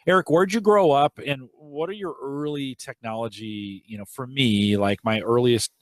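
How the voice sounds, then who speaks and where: A man's voice: medium pitch, normal speed, no echo. A man hosting a podcast, chatty and affirming, asking rambling questions. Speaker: podcast host. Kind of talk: casual podcast chat.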